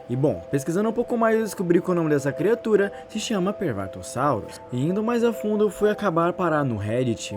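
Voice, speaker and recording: A man with a Brazilian Portuguese accent narrating a story as casual online commentary, in a wordy, intrigued manner. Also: high voice. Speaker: YouTube narrator.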